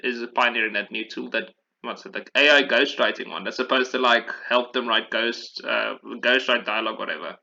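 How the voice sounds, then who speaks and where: Man, very bright forceful voice, casual rambling chat, self-correcting, slightly dull lo-fi recording. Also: medium pitch, fairly quick, vocal fry, hardly any pauses. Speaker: podcast host discussing video games.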